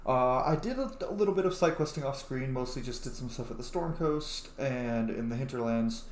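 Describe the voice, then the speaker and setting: A man's voice: mid-range voice, fairly quick. Man doing let's-play commentary, echoey room. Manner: informal, rambling asides. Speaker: host of a gaming series. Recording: echoey room, faint noise.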